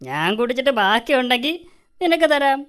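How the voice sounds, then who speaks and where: A woman's voice: high-pitched, big pitch swings, a little fast, clear, resonant tone. A woman voicing a foolish, pompous, stingy male boss in a children's cartoon, exaggerated and gloating. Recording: music behind the voice.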